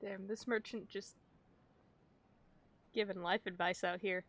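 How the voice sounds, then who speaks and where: A woman's voice: mid-range voice, steady tone, a little slow, fairly bright tone. A young adult woman giving casual livestream game commentary, jokey, affectionate and slangy with some swearing. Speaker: streamer.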